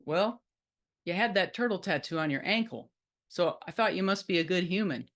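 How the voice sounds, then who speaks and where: A woman's voice: on the low side, expressive, moderate pace. A woman voicing a large, easygoing male sea turtle dad with plain, dry delivery in a story. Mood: matter-of-fact.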